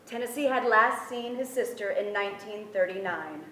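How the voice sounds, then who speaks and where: A young woman acting a gentle sister and narrator in a careful dramatic staged reading. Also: mid-range voice, moderate pace, a little hiss or hum, natural voice. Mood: grave. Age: young adult. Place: theatre stage before an audience. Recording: echoey theatre, distant microphone.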